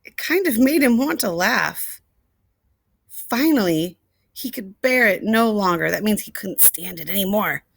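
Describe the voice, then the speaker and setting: A woman storyteller reading a picture book expressively to young children, deliberately, with asides and questions to the listeners. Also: medium pitch, lively intonation, gravelly, no echo. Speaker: read-aloud storyteller.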